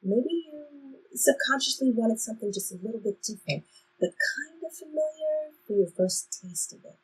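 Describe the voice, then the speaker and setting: A woman's voice: a little high, expressive, moderate pace, husky, poor audio. A woman playing a cautious, wry time-traveling guide who instructs, in a stage play reading. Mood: musing, teasing.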